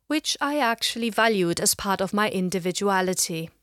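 A middle-aged woman with a slight German accent, giving a measured, explanatory lecture. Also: mid-range voice, animated. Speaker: language educator. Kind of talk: scripted lecture narration.

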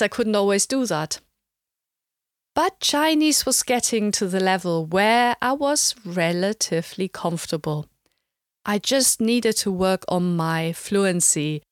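A woman whose first language is German, calmly explaining language levels in a reflective podcast monologue and slowing down to spell out terms. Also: mid-range voice, no echo, clean studio sound. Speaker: language learner.

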